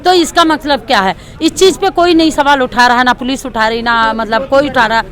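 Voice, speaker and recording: High-voiced woman speaking out. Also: moderate pace. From Delhi, India. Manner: emphatic, repetitive. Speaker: local protester interviewed. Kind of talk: impassioned street interview. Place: outdoors at a protest. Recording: outdoor street with crowd noise.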